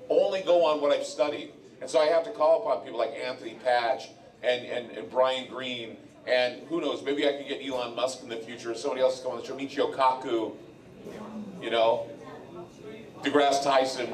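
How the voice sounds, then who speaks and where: A man's voice: medium pitch, fairly even intonation, normal speed. Rambling male radio host in a roomy venue. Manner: rambling, conversational, repeats words. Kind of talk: live event talk to an audience. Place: live venue with audience. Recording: roomy live venue, mic heard from a distance.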